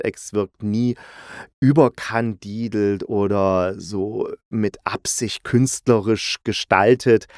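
A man's voice: on the low side, clean tone, no room sound. Chatty male podcast host, dry close sound. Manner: jokey, playful, self-correcting. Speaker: podcast host and audio drama reviewer. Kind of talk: casual, chatty solo review.

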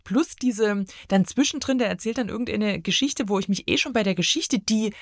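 A woman's voice: medium pitch, normal speed, no echo, studio quality. A woman chatting casually as a guest reviewer, colloquial and emphatic in her complaints. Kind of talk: casual chat.

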